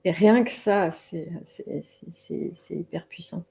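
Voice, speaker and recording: A woman's voice: fairly low pitch, takes it slowly, gravelly, dark tone. A woman guest on a video call, chatting casually and animatedly, often restarting her sentences. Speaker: guest expert. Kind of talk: casual conversation.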